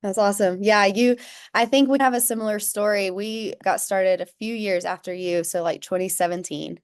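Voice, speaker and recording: A woman hosting a relaxed interview, her voice smooth and clear at a middle pitch. She is upbeat and enthusiastic, chiming in often with quick affirmations. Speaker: interview host. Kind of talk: casual interview chat.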